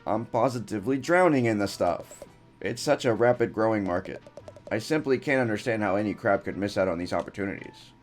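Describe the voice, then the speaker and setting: Man voicing a boastful game crab tycoon, enthusiastic, low. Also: brisk, soft background music, natural voice. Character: gushing male crab trash investor.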